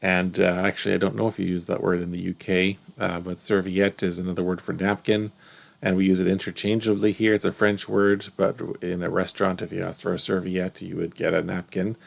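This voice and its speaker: Gravelly low-voiced Canadian man. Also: level tone, fairly quick. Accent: English Canadian. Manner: thoughtful, musing, trails off softly. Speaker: reaction video host. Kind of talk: casual reaction commentary.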